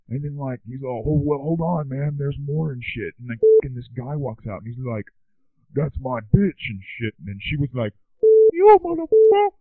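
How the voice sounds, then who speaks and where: A laid-back man rambles through a story in a lazy stoner drawl, his pitch bouncing wildly whenever he acts out other people. He sounds dry and tinny, as though he is calling in over a phone line. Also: no echo. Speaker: recurring guest.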